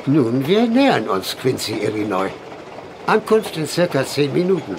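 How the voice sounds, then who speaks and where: An actor playing a courteous, dry-humored train conductor, formal and deferential, in a German audio drama. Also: male voice, big pitch swings, normal speed. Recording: clear train rumble behind voice.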